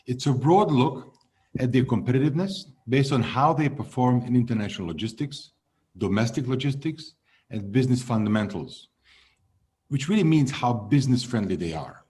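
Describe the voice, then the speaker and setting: A man in his fifties, born in Hungary, answering interview questions as a logistics executive in a measured way that trails off at the ends of phrases. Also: mid-range voice, expressive, darkish tone.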